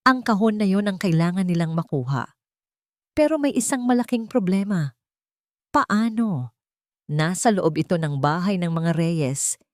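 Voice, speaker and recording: Expressive woman narrator, slightly low voice. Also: dry recording, clean studio sound. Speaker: story narrator. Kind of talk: dramatic scripted narration.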